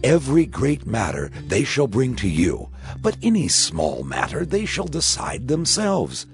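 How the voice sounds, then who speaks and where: A man playing a wise elder father-in-law giving counsel in a dramatized scripture reading, measured, earnest and instructive. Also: medium pitch, wildly swooping pitch, soft background music. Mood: earnest, advising.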